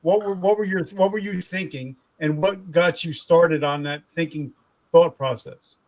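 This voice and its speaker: Man, podcast host, enthusiastic, lots of ums, slightly high voice. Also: normal speed, darkish tone, rough recording. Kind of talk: interview questions.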